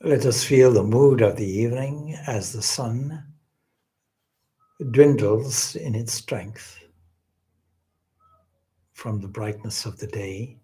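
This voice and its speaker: A man guides a meditation in a mid-pitched voice. He speaks very slowly and deliberately, leaving long pauses between phrases. His delivery is gentle and reverent, like that of a spiritual teacher. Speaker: swami leading a guided meditation.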